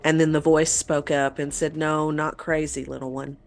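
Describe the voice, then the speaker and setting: Low-voiced woman telling a story. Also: flat delivery, moderate pace, soft background music. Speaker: podcast host telling a paranormal story. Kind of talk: conversational storytelling.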